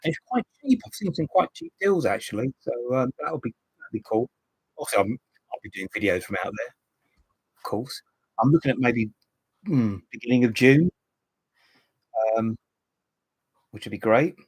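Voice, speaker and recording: Husky, chatty man in his fifties. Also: medium pitch, sing-song, normal speed, long pauses, no room sound, poor audio. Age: 50s. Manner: rambling, chatty, reads and answers viewer comments. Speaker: livestream host. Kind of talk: casual live-stream chat.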